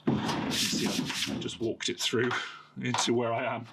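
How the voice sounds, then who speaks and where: A man with a cold and a croaky voice, hosting a casual PC repair video and talking hesitantly with many fillers while he works. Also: medium pitch, completely flat delivery, measured pace, heavy background noise. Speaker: host of a PC repair channel. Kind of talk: casual hands-on repair talk.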